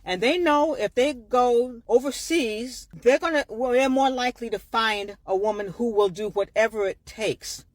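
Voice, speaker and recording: A woman's voice: a little high, normal speed, few pauses, dry recording. A woman commenting in a clip in a blunt, dismissive way.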